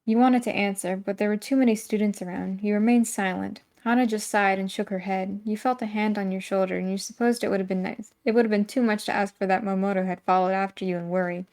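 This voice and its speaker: A woman's voice: mid-range voice, fairly even intonation, quick pace, hardly any pauses, darkish tone. A woman co-hosting a read-aloud, moving between story narration and casual banter. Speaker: co-host of a read-aloud series.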